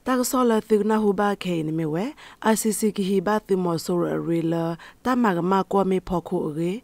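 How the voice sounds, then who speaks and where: A radio news presenter reads from a script in a creaky voice with some gravel in it. The sound is close and dry, with very little room around it. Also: mid-range voice, moderate pace, a little mellow, no room sound.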